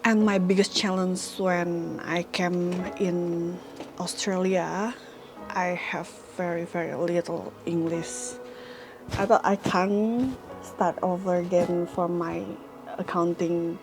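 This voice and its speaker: A woman from Indonesia, telling her story in halting, simple English. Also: fairly low pitch, little pitch movement, over music. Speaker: interviewee. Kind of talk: personal testimony.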